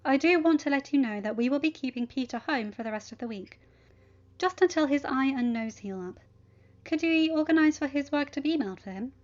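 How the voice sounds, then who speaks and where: A woman's voice: high voice, expressive, fairly quick, pitched above the performer's own voice. A woman voicing a poised, protective, businesslike mother in a story reading, speaking politely with formal assurance. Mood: gracious. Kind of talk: audiobook character voice for fan fiction. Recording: dull lo-fi home recording.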